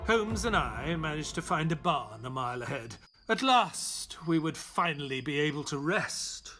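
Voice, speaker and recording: A man's voice: on the high side, very animated, a little slow, sharp tone, faint music behind, full character voice. An actor playing an earnest, weary male companion to a detective, questioning and reflective, in an audio play. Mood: calm storytelling. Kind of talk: audio play acting and narration.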